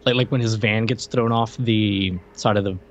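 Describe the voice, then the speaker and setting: A man's voice: lowish voice, steady tone, normal speed. A man co-hosting a podcast, chatting casually and teasing his partner as they review a movie. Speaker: podcast co-host.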